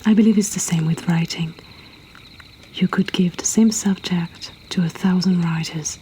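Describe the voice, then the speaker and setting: A woman softly whispering a poetry reading as an intimate storyteller host, breathy and deliberate with long pauses. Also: fairly low pitch, steady tone, a little hiss or hum.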